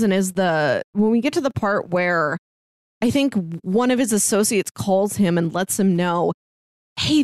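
A woman's voice: on the high side, no echo. Woman podcast co-host in dry close sound. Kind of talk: casual discussion of an audio drama.

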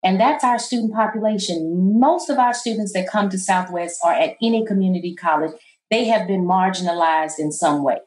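A middle-aged woman with a soft, low voice, guesting on an interview and talking in a calm, measured way that turns emphatic at times. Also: reverberant, low-quality recording. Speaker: college president, guest. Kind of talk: interview conversation.

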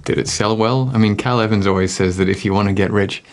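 A man's voice: lowish voice, steady tone, fast talker. A man hosting an interview, asking curious, searching questions and adding frequent short acknowledgements while the guest talks. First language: not English. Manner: curious, many backchannels. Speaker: podcast host.

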